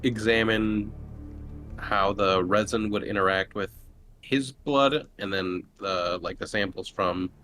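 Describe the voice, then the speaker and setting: A man's voice: on the low side, fairly even intonation, slow pace, pushed and bright, faint music behind. A man chatting casually as a player in a tabletop roleplaying game, describing what his character does.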